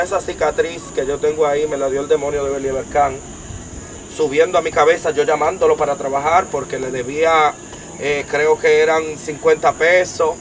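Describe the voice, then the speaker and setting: A young man with a Dominican accent, giving an emphatic personal testimony as an interview guest, piling up details in long rapid lists. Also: fairly high pitch, slight background noise, poor audio. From Dominican Republic. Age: young adult.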